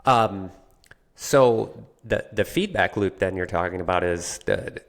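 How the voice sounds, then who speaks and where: A man's voice: low-pitched, measured pace, creaky. A man talking through an explanation in a conversational way, like a guest on a business podcast.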